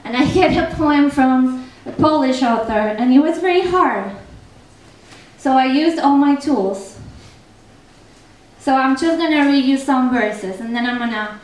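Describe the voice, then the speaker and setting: A young Argentinian woman speaks in a fairly high voice, her thick accent colouring every phrase. She talks chattily, full of ums and restarts, on a translation panel. The sound comes from a distance in a very echoey room with a faint hiss. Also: unhurried, darkish tone. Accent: Argentinian Spanish. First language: Spanish. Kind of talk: panel talk with poetry reading. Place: festival event room.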